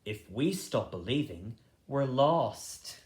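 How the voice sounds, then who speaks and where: A man voicing a calm, cautious rabbit leader in a gentle, measured way. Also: medium pitch, echoey room. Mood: calm, encouraging.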